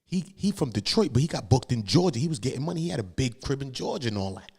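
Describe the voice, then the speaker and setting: A man's voice: mid-range voice, animated, fast, a little mellow, slightly rough. An American man hosting a livestream, giving casual, slangy street commentary on court cases and repeating phrases for emphasis.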